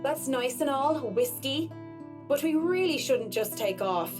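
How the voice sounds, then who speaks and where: A woman's voice: high voice, animated, a little slow. An actress playing a headstrong, sarcastic young woman in a visual novel, with music behind her. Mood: firm, protesting. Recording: background music under the voice.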